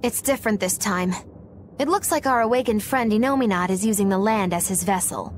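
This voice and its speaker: A woman's voice: medium pitch, brisk, slight background noise, close to an everyday voice. A woman playing a cool, sardonic, scheming witch with a wry, theatrical delivery in a game cutscene. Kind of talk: dramatic game cutscene acting.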